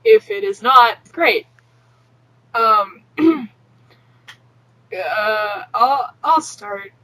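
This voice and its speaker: A woman's voice: on the high side, lively intonation, extremely slow, sharp tone, low-quality recording. A woman hosting a podcast, chatting informally and reading fan fiction aloud in an amateur, sweary, offhand way. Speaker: podcast host.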